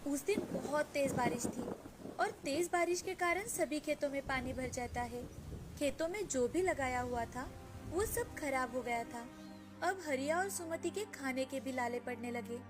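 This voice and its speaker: High-pitched woman narrating a storybook tale. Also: animated, a little slow. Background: background music.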